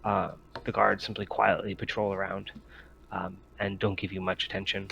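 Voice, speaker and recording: Low-voiced man, halting game master. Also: monotone, vocal fry, fairly bright tone, lo-fi sound, slight background noise. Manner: halting, hesitant delivery with many pauses. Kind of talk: improvised tabletop game narration.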